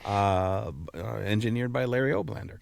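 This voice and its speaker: This is a male podcast host with a low voice and a close, dry sound. He chats casually and haltingly, circling back over words as he works toward his point. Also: vocal fry, no room sound. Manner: halting, repeats words as he explains. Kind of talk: casual chat.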